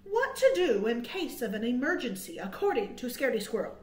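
A middle-aged woman reading a picture book aloud to young children with expressive, dramatic emphasis and chatty asides, as a storytime host. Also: on the high side, brisk. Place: small indoor room. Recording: roomy, echoing indoor sound.

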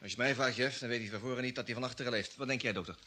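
An actor playing a blunt, sceptical spaceship radio operator with colloquial speech in a vintage radio drama. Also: male voice, medium pitch, rapid-fire, bright, forceful tone, a little hiss or hum, lightly acted.

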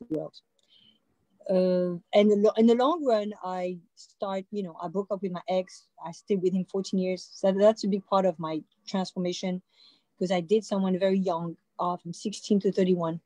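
A woman's voice: fairly low pitch, dark tone, dry recording, poor audio. Dry-sounding French woman in her forties. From France. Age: 40s. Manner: loose and conversational, with frequent fillers and restarts. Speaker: panel guest, visual artist. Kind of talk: casual personal storytelling on a video call. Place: indoor room with a warm-lit backdrop. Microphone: laptop or phone mic on a video call.

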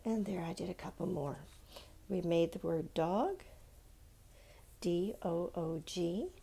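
A woman's voice: fairly low pitch, animated, slow, creaky, dark tone, slightly rough. A woman giving a step-by-step drawing lesson for young children in simple, encouraging words, often checking in with questions. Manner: simple, encouraging, instructional, asks the listener questions.

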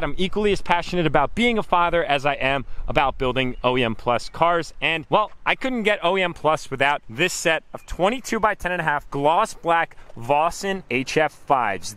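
A man's voice: a little high, fairly bright tone. A man in his thirties vlogging outdoors to a handheld camera, eagerly explaining car parts while losing his voice. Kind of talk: casual vlog talk to camera. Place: outdoor parking lot and driveway. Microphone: handheld camera at arm's length.

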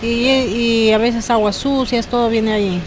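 A woman's voice: a little high, steady tone, unhurried. A woman in her thirties working a food stall, answering a reporter plainly into a handheld mic. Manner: plain, matter-of-fact answers. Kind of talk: street interview. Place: outdoor food stall on a street. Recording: street noise behind.

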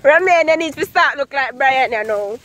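A woman's voice: high-pitched, expressive, moderate pace, fairly bright tone. A young woman with a Jamaican accent, vlogging casually on a rural farm and teasing her friends. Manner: playful teasing, lots of laughing. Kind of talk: casual vlog chat. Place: outdoors on a grassy rural farm.

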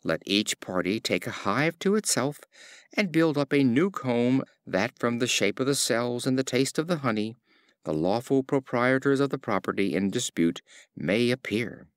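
A man voicing a stern male wasp judge who speaks in a formal, measured way. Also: medium pitch, animated, no room sound, studio quality, lightly acted. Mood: judicial.

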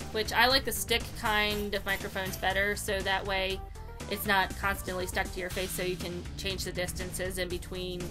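A young American woman giving a casual, rambling, unscripted tutorial at home in an informal way. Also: medium pitch. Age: young adult. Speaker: tutorial host, amateur fandubber. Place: cluttered room at home.

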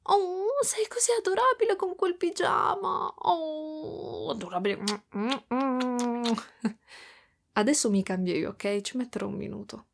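Woman playing a slow, breathy, doting spider mother. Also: high-pitched, sing-song, full character voice. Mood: adoring. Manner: soft, cooing, hushed, drifting into whispers. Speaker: ASMR roleplay performer. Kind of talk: intimate ASMR roleplay in Italian.

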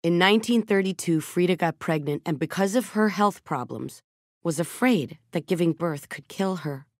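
A middle-aged woman narrating a children's storybook in a gentle, measured way, softening her voice at tender moments. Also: lowish voice, dry recording, studio quality. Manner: gentle, measured storytelling with soft dips in volume. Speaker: audiobook narrator. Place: recording studio. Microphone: close studio microphone. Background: background music.

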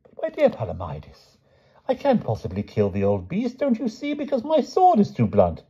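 A fussy, kindly old knight-king, voiced by a man, frets in a high, wobbling, upper-class voice. His pitch leaps about as he dithers. The storybook recording sounds dull and lo-fi. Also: huge pitch swings, normal speed, warm tone, husky, exaggerated voice. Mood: fretful.

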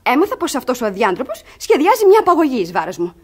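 High-voiced crafty young ward, theatrical. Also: female voice, slightly rough, full character voice. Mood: indignant, sly. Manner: theatrical, emphatic, artfully expressive. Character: crafty young ward feigning wounded virtue, coaxing and indignant. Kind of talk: Greek radio theatre comedy acting.

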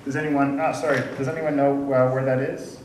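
A man's voice: mid-range voice, flat delivery, fairly quick, crowd noise. Man in his thirties, chatty conference speaker, lots of ums, recorded from far off in an echoey room with noise. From Canada. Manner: friendly, chatty, many ums and uhs, asks the audience questions. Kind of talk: casual conference talk. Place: large dim conference room. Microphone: room mic from far off.

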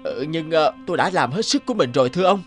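A man's voice: fairly high pitch, expressive, fast talker, soft background music. A man voicing a timid, humble village poet in a cartoon, hesitant and polite. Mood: dejected. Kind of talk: cartoon dubbing.

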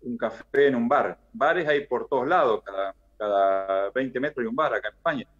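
A middle-aged man with an Argentine accent, chatting as an interview guest over a video call, filling pauses with "eh" and "viste". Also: mid-range voice, fairly bright tone. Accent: Argentine Spanish. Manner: chatty, fills with "eh" and "viste", restarts phrases. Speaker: interview guest, former basketball player. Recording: dull lo-fi video call audio.

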